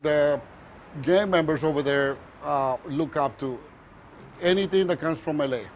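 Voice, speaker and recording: A man's voice: a little high, measured pace. A man speaking unscripted in a news interview soundbite. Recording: faint ambient noise.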